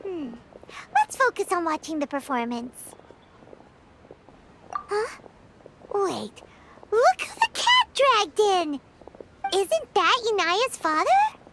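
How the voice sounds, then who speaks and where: Casting: excitable childlike fairy sidekick for an anime game, piercingly high and squeaky, very lively intonation. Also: female voice, takes it slowly, pauses often, heavy background noise, heavily acted. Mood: surprised. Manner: speaks of self in third person, expressive. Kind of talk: anime video game voice acting.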